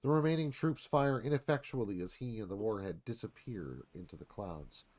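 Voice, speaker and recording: A man's voice: on the low side, lively intonation, moderate pace, darkish tone. A man reading screenplay stage directions aloud as scripted narration.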